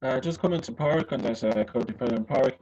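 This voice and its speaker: A man's voice: mid-range voice, fast, crowd noise. An Irish man hosting a sports radio discussion and putting questions to guests. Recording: dull lo-fi radio sound.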